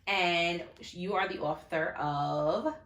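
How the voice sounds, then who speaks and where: A woman's voice: fairly low pitch, takes it slowly, a bit bright, echoey room. A woman hosting an interview in an upbeat, chatty way. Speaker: interview host. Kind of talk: casual interview.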